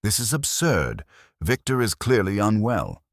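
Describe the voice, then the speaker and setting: A man's voice: lowish voice, wildly swooping pitch, unhurried, dry recording, studio quality, voice pushed up, exaggerated voice. A man voicing an indignant, haughty wealthy wife in a dramatic narrated story, protesting as she speaks.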